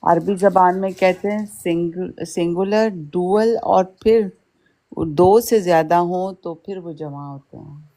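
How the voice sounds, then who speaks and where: A woman's voice: lowish voice, takes it slowly, mellow. A woman explaining things informally as a co-teacher in a casual chat.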